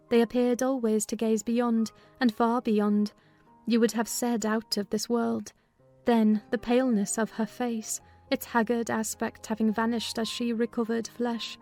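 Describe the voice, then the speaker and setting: A woman playing an observant, composed housekeeper who narrates a literary story in a measured, descriptive way. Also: on the high side, a little mellow, close to an everyday voice. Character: female housekeeper recounting events, composed and observant servant. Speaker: narrator in a dramatized novel reading.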